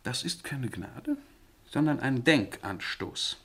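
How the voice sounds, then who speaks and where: Haughty, penny-pinching sovereign for radio play. Very lively intonation, forceful brightness, pointedly condescending. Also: mid-range voice, takes it slowly, breathy edge, heavily acted. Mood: cold, reproachful. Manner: condescending, pointed, rhetorical questioning. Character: haughty, thrifty monarch rebuking an aging minister. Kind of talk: radio drama acting.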